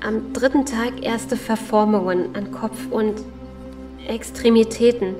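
Woman acting grave, higher-voiced survivor over music. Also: steady tone, a little slow, natural voice. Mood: grave reading. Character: serious, grim woman survivor, steady and defiant. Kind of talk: German audio drama acting.

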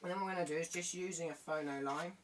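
A young man hosts a casual tech tutorial in a fairly high voice. He is picked up from a little way off in a small bedroom, with noticeable room noise behind him. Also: fairly even intonation, very fast. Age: young adult. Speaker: video tutorial host. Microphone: camera across the room. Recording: distant camera mic with room noise.

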